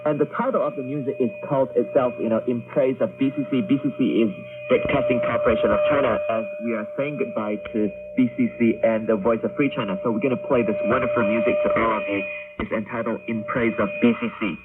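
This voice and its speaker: A man's voice: medium pitch, steady tone, quick pace. A man co-hosting a radio program in a casual announcing style, wordy and hesitant, often repeating himself. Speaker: radio host. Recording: tinny shortwave radio broadcast, music behind.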